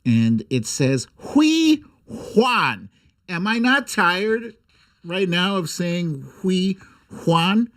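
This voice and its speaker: A man hosting a comic political livestream, speaking with sarcastic, irreverent bite and theatrical, drawn-out emphasis. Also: sits high, very animated, fairly bright tone. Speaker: host of a political humour livestream. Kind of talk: comic political commentary and mock storytime reading.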